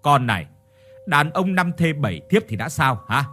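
A man voicing a domineering older mother-in-law with a bossy, sharp manner in a dramatic audio story. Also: on the high side, sing-song, quick pace. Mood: haughty, scolding. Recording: music behind the voice.

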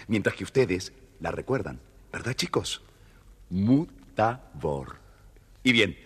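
Low-voiced, expressive male storyteller. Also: slightly rough. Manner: expressive, addresses young listeners directly. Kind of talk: dramatised scripted narration for children.

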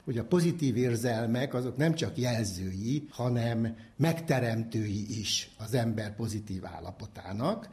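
A man explaining concepts as a psychology expert in a formal, measured, didactic lecturing manner. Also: mid-range voice, a little mellow.

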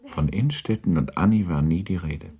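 Radio drama narrator: man with a very deep, husky voice, restrained and measured literary reading. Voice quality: husky, mellow.

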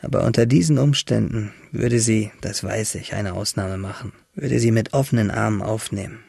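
An actor playing a wistful, tender young shipwrecked seaman in a radio drama monologue, intimate and halting, with a husky voice. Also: fairly low pitch, a little mellow, no echo. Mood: reflective. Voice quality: husky, gravelly, breathy.

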